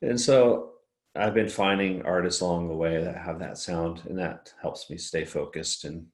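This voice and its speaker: Deep-voiced baritone man, echoey room. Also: moderate pace. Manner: relaxed, rambling, laughs often. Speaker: guest singer-songwriter. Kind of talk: casual interview chat.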